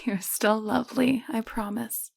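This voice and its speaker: A woman acting in an audio drama as a caring, gently firm caretaker who coaxes and reassures in a hushed way. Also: fairly high pitch, fairly even intonation, measured pace, vocal fry, husky, full character voice. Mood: tender, reassuring. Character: caring, soothing woman named Allie, gently teasing and firm.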